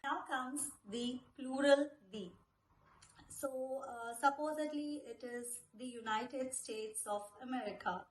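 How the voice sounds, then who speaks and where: A woman's voice: high-pitched, slow, reverberant, poor audio. A woman from India, explaining German phrases step by step as a language teacher, patient and peppered with fillers.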